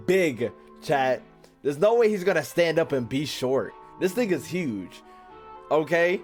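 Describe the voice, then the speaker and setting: Male American game streamer: lively swinging pitch, profane teasing slang, casual commentary, faint music behind.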